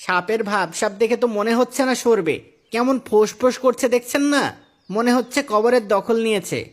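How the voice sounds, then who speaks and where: A man playing a frightened, grumbling young village gravedigger in a cartoon tale, excitable and complaining. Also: very high-pitched, brisk. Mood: uneasy. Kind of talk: Islamic moral cartoon story acting.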